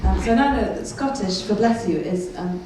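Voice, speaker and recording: A woman's voice: fairly quick, very noisy. A woman from Wales with a light Welsh-English accent, performing a chatty, self-mocking stand-up comedy set. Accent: mild North Welsh-English. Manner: chatty, self-mocking, bursts of shrill put-on voices. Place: large concert hall on stage. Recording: echoey concert hall, taped from far off. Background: laughing crowd.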